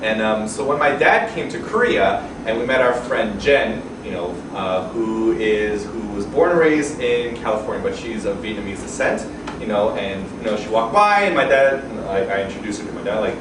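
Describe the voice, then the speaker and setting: A young man speaking as a guest to a live audience, telling lively, animated stories and swearing casually. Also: fairly low pitch, moderate pace. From Canada. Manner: animated, swears casually, acts out quoted voices. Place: event room with an audience. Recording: roomy, distant, noisy event audio.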